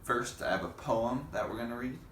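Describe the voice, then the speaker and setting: A man teaching young children in a read-aloud lesson, speaking in simple, deliberate phrases and putting questions to them. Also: mid-range voice, a bit bright. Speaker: elementary school teacher. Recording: echoey room with faint background noise.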